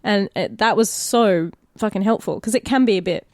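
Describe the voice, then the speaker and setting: A woman's voice: mid-range voice, normal speed, darkish tone, dry recording, clean studio sound. An Australian woman co-hosting a podcast, chatting casually in an informal, sweary way. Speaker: podcast co-host.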